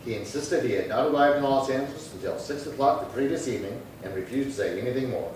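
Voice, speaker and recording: Guy doing a deadpan cop sergeant, clipped and matter of fact, medium pitch, old radio drama, echoey. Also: fast, natural voice. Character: terse, businesslike male police detective sergeant narrating his case. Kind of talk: 1950s radio crime drama acting and narration. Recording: very echoey room, distant mic, faint noise.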